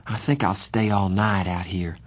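A man's voice: low voice, fairly even intonation, normal speed. A man voicing an easygoing teenage boy, a loyal friend in an audiobook, speaking casually. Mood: drowsy, casual.

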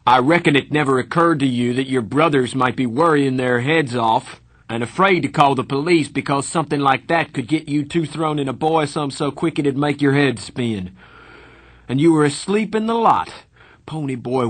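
A man voicing a stern, hot-tempered young older brother in an audiobook, scolding loudly. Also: fairly low pitch, animated, moderate pace, hardly any pauses, breathy edge. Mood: furious, scolding. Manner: loud, scolding, forceful.

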